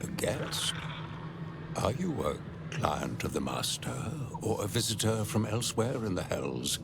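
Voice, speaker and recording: A man's voice: fairly low pitch, big pitch swings, unhurried, vocal fry, exaggerated voice. A man voicing a fussy, stubborn, officious archivist of the hells in a video game, formal and pedantic. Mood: suspicious, officious. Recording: noisy game ambience behind.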